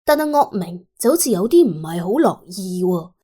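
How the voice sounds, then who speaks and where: A woman narrating an audiobook in animated storytelling style. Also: fairly low pitch, moderate pace, husky.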